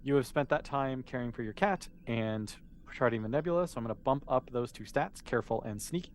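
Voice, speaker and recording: A man's voice: mid-range voice, brisk. A man running a tabletop game as game master, explaining things in a patient, conversational, improvised way. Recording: clearly noisy background.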